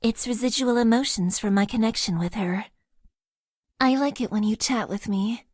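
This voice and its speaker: A woman's voice: a little high, moderate pace, slightly rough, dry recording, clean studio sound. A stiff, shy mecha-pilot girl. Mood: earnest. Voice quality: stiff, overly formal phrasing. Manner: earnest, shy and deadpan, nervous stammers. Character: formal, awkward young female mecha pilot, emotionally inexperienced. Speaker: dating-game character. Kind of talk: game voice lines. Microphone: close studio mic, dry.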